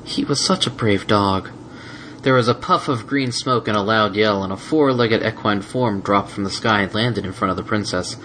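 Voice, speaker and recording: A man's voice: on the low side, normal speed, sharp tone, low-quality recording. A man reading a scripted story aloud as an audiobook narrator, with faint noise on the recording. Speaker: audiobook reader.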